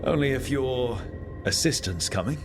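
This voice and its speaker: A man plays a young adventurer in a fantasy video game. The voice is medium in pitch, casual and dryly humorous, with an easy teasing streak. Music plays behind it. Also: lively intonation, moderate pace, lightly acted. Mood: wry, teasing.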